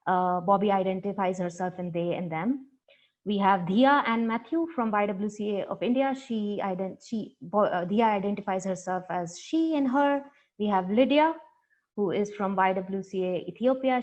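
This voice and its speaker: A woman moderating an online panel, introducing the speakers in a polite, formal, slightly halting way. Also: mid-range voice. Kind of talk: introducing panelists on a video call.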